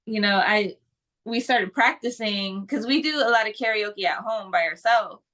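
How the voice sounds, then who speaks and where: A woman's voice: mid-range voice, moderate pace, a bit bright. A woman co-hosting a podcast, talking casually and earnestly, with frequent restarts. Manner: earnest, spiritual talk, restarts. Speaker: podcast co-host.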